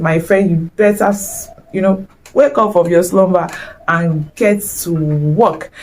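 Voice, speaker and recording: A woman's voice: on the low side, mellow. A young woman with a Nigerian accent, giving a chatty, exclamatory motivational talk straight to camera and mixing in Nigerian slang. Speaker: vlogger.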